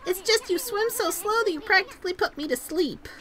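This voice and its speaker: High, bright, bouncy cocky little sidekick, bragging, a woman voicing it. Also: lively intonation, a little fast. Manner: bragging, defensive.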